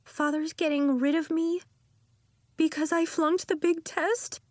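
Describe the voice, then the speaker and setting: Woman doing young storybook girl, high small voice, anxious, earnest, plaintive, questioning, dry sound. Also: sing-song, takes it slowly, no room sound, exaggerated voice. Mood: hurt, tearful. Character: timid, earnest young schoolgirl, anxious but persistent.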